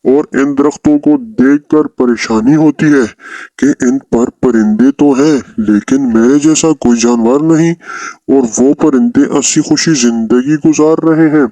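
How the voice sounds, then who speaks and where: A man's voice: mid-range voice, a little slow, dark tone, dry recording, natural voice, poor audio. A man voicing a troubled zebra in a children's cartoon story, with a plaintive, earnest manner. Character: troubled zebra seeking peace in the jungle.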